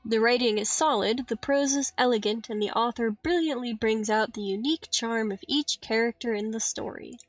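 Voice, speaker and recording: A woman's voice: fairly high pitch, normal speed, hardly any pauses, no echo. A woman game streamer reading story dialogue aloud on a livestream, recorded dull and dry at home. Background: faint game music.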